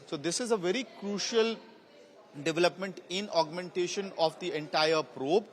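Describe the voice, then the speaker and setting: An Indian-accented man reports live as a news correspondent. His voice sits somewhat high, and he explains step by step with a halting delivery.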